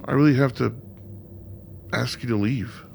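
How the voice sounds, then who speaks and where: A man playing an anxious, hesitant psych ward resident with a nervous, halting delivery in a radio play. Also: mid-range voice, fairly even intonation, creaky, slight background noise. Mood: nervous, evasive.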